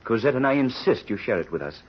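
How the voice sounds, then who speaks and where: An actor playing an earnest, hesitant young bridegroom in a 1930s radio drama. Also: male voice, medium pitch, sing-song, quick pace, lightly acted. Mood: glad, eager. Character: earnest, troubled young bridegroom, polite son-in-law. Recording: muffled, noisy old radio recording.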